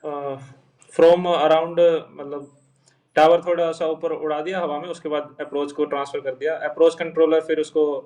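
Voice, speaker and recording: A young man with a fairly high voice, sitting away from the microphone. He explains things informally and haltingly, going step by step and rephrasing as he goes. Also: little pitch movement, a little mellow. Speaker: interview guest, junior air traffic controller. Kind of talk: informal explanation in Hindi mixed with English terms. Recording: voice distant from the microphone.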